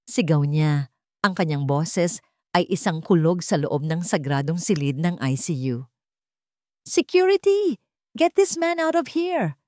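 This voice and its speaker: A woman narrating a scripted dramatic love story in a theatrical, deliberately phrased way. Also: deep voice, warm tone, no echo, studio quality.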